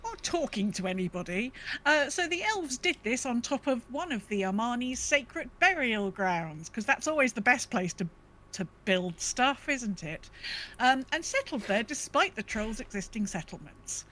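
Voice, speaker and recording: A woman co-hosting a podcast, reading a game lore recap casually with wry, deadpan asides. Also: a little high, normal speed, low-quality recording. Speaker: podcast co-host. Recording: faint background noise.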